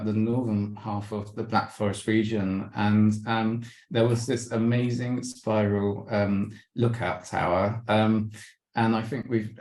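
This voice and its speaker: A man's voice: lowish voice, a little mellow, roomy sound. A man giving a slideshow travel talk on a video call, hesitant with many ums and restarts, and losing his voice. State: losing his voice, clearing throat. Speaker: webinar presenter.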